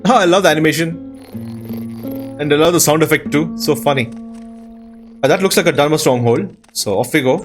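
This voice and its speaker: A man giving casual let's-play commentary in a husky voice, musing aloud and reading names off the screen. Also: a little high, a little fast, long pauses. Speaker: video game player and host. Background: plucked-string game music.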